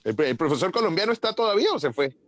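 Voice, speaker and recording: Chatty man, high voice, dry close sound, video call. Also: very animated, rapid-fire, no echo. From Chile. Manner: chatty anecdote-teller, laughs while talking. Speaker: conference participant. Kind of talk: casual chat.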